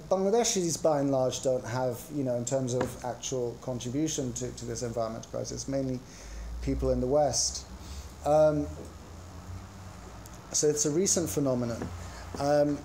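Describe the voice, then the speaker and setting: A man with an English accent gives an academic conference talk, speaking in a reflective, hesitant and halting way. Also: mid-range voice, darkish tone, a little hiss or hum, rough recording. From England. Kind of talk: academic talk on religion, partly improvised. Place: indoor conference presentation.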